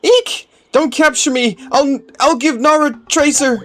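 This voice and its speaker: A man's voice: very high voice, a little slow, fairly bright tone, soft background music, voice pushed up. A man voicing a small timid forest spirit in a video game, with simple, childlike phrasing. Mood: frightened squeal.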